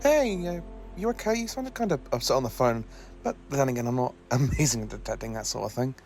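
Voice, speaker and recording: An actor playing a kindly, socially awkward alien professor who travels in time, speaking gently and hesitantly in an audio drama. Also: male voice, mid-range voice, animated, a little fast, soft background music, lightly acted. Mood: concerned.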